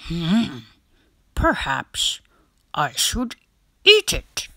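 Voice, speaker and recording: A woman voicing a grumpy, reluctant male monster from a picture book, muttering threats in a deliberate grumble. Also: lowish voice, huge pitch swings, gravelly, bright, forceful tone, no room sound, studio quality, exaggerated voice. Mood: grumbling, reluctant. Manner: grumbling, deliberate, whispers and bellows.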